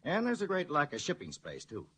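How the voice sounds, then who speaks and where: Grocer, bright husky animated voice. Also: male voice, on the high side, a little fast, full character voice. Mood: earnest. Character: patient, explanatory male neighborhood grocer. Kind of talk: 1940s radio advertisement acting.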